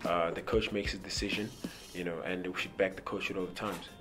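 Deep-voiced young South African man. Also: a little fast, music in the background. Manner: relaxed, repeats words, many "you know" fillers. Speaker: rugby player. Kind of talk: interview answers. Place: indoor press backdrop.

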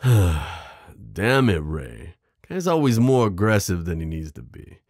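A man voicing a smooth, possessive mafia boss in an ASMR roleplay, menacing yet protective, teasing the listener with pet names. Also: low voice, sing-song, slow, a little mellow, dry recording, clean studio sound, full character voice. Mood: protective, stern. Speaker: audio roleplay performer. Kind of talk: ASMR roleplay drama acting.

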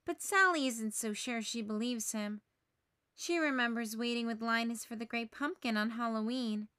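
A woman narrating a children's storybook aloud in a measured, expressive way. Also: fairly high pitch, smooth, no room sound, studio quality.

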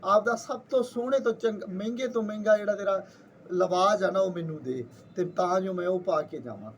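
A man's voice: sits high, brisk, a little hiss or hum, lightly acted. A man with a Punjabi accent voicing a sly, artful trickster who feigns innocence, in an informally told folktale. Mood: stubborn, sly. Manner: colloquial, bargaining, artful.

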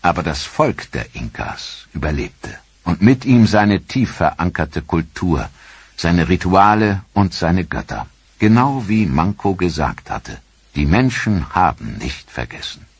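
A man narrating a history documentary in a measured, solemn, scripted style. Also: sits low, big pitch swings, husky, dry recording.

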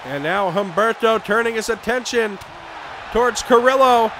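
A man losing his voice, shouting excited play-by-play for a wrestling video game. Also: high voice, moderate pace. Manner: shouting excitedly over the action. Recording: game crowd noise behind voice. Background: video game arena crowd and sound effects.

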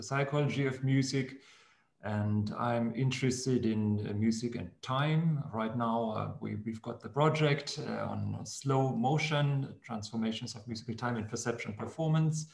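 Creaky man in echoey room. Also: mid-range voice. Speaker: musicology professor. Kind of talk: informal self-introduction. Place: bare-walled room. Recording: echoey room on video call.

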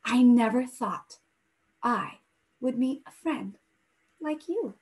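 A woman's voice: on the high side, animated, takes it slowly, a little mellow. A woman doing a sweet little male songbird. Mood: gentle. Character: friendly gentle male songbird.

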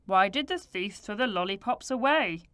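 A woman voicing a kindly grown man who murmurs slowly and thoughtfully while he puzzles things out. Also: mid-range voice, expressive, fairly bright tone, clean tone, no room sound, studio quality, natural voice. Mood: puzzled.

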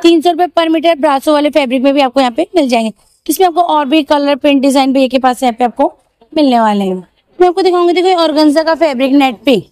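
Brief: high, dry-sounding female shopping vlogger, chatty, repeats prices, outdoor market. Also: fast, dark tone, no room sound. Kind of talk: market walkthrough vlog.

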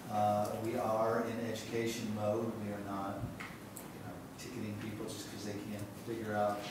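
A man with an Ohio accent giving matter-of-fact updates at a city council meeting, speaking haltingly with many fillers. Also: on the low side, completely flat delivery. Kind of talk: public meeting remarks. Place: large council chamber. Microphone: desk microphone heard from a distance. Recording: very echoey chamber, distant mic, background hiss.

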